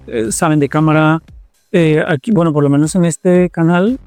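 A man from Colombia, a TV producer answering interview questions in a relaxed, thoughtful way and repeating words as he searches for them. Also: a little high, moderate pace, clear, resonant tone, dry recording, faint music behind.

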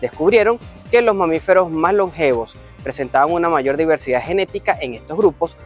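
Upbeat guy hosting a show, kinda high voice, soft music under it. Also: expressive, fairly quick. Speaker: show host. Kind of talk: reading science segment, presenting.

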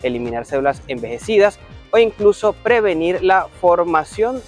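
A man's voice: high-pitched, sing-song, normal speed, a little mellow, soft background music. A man hosting a show, reading a science segment and introducing guests in an upbeat presenter's manner. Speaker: show host.

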